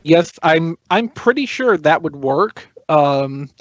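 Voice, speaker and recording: A man's voice: fairly high pitch, lively intonation, slow, highs cut off. A man on a podcast panel, explaining things casually but analytically and in detail.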